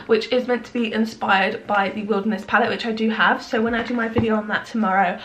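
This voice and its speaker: A young woman with a croaky voice, chatting casually and self-deprecatingly through a makeup review at home in the evening. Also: fairly high pitch, fairly even intonation, fast, a bit bright, poor audio. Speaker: beauty vlogger.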